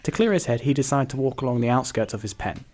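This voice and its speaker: A man's voice: mid-range voice, animated, fast talker, a little mellow. A man reading scripted narration for an animal story.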